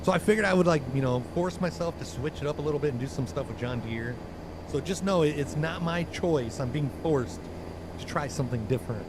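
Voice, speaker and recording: A man's voice: a little high, a little fast, noisy background. A man hosting a live stream while driving in a truck game, chatting casually with blunt talk and lots of fillers. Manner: rambling, filler-heavy, blunt.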